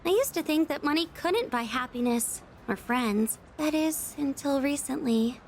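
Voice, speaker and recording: Self-doubting schoolgirl, quite high voice, reflective, quietly longing, game dialogue. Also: animated, moderate pace, soft background music. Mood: wistful, reflective. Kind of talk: video game character dialogue.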